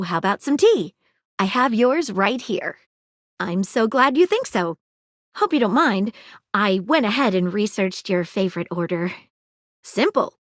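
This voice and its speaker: A woman voicing an eager, polite female magnifying-glass detective in a video game, crisp and precise, often apologetic. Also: medium pitch, no echo, clean studio sound. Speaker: detective character. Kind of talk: video game character dialogue.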